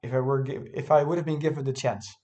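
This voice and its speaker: A man's voice: mid-range voice, steady tone, quick pace, dark tone. A man who is not a native English speaker, casually thinking aloud as he reviews his own game, hesitant and often correcting himself.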